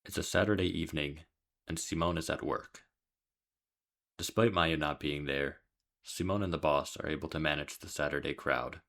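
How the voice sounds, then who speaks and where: A man's voice: extremely low pitch, steady tone, normal speed, a bit bright. A man reading scripted storytelling narration as he reviews a video game. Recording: music under the voice. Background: background music.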